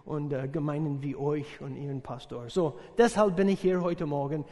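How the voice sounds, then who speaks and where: A middle-aged American man with a notably high voice preaches a sermon in a language he learned later, his native English showing through. He halts often and fills gaps with "uh" while joking warmly with his listeners. His voice rings through a church hall with a long, echoing tail. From USA. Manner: halting, searching for words, many fillers, jokes with congregation. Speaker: visiting missionary preacher.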